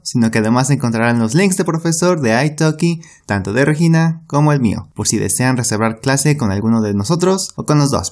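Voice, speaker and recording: A man's voice: medium pitch, a little mellow. Chatty Mexican man co-hosting. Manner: chatty, repeats words for emphasis. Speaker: Spanish teacher, podcast co-host. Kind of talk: casual podcast chat.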